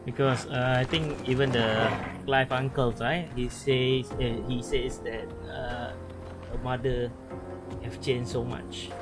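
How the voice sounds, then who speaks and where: Halting male gamer over loud music. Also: mid-range voice, steady tone. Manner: hesitant, halting. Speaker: game streamer. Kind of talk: casual gameplay commentary. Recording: loud game music behind the voice.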